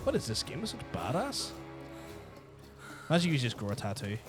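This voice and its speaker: Brief: male podcast co-host, rapid casual banter, trailer music faint underneath. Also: medium pitch. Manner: quick, excitable, swears freely. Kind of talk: casual chat reacting to game trailers.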